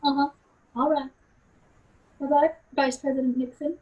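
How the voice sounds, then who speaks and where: A woman's voice: high voice, flat delivery, normal speed, muffled recording, close to an everyday voice. A woman playing a brash, foul-mouthed female secret service boss, sarcastic and scolding, in a comedy script read. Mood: breezy.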